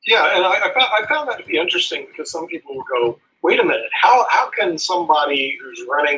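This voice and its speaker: A man hosting a casual interview show, asking his guest questions in an animated way. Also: medium pitch, poor audio. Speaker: show host.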